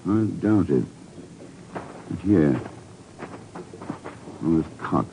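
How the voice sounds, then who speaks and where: An actor playing a cool, dry secret agent with a measured, wry way of questioning, in a 1950s radio drama. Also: male voice, low voice, animated, mellow, full character voice. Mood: dry, calm. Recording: dull, noisy 1950s radio recording.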